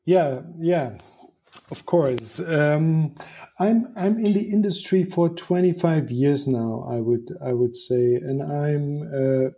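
A German man in his fifties talks through his career as an interview guest. His voice is mellow and a little husky, and he thinks aloud, restarting and doubling words. English is not his first language. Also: a little high, slow pace. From eastern Germany. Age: 50s. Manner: thoughtful, frequent restarts and repeated words. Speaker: guest, publisher. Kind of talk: conversational interview.

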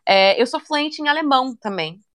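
A young woman chatting casually in an interview, relaxed and talkative, often ending phrases with little tag questions. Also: high-pitched, normal speed. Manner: chatty, relaxed, many fillers like "né" and "sabe".

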